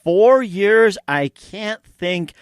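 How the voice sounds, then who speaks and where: Gushing male podcast host, higher-pitched voice. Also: animated, very slow, smooth, dry recording, studio quality. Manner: gushing, effusive. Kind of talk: enthusiastic interview chat with read sponsor plugs.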